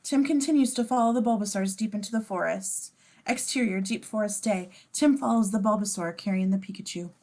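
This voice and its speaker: A woman reads stage directions as scripted narration. Her voice sits at a medium pitch. She describes the action between the actors' lines in a table read. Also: brisk, darkish tone. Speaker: scene description reader.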